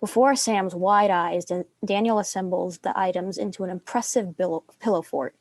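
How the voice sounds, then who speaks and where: A woman's voice: lowish voice, normal speed, a little mellow, no room sound. A young adult woman reading scripted stage directions as a narrator.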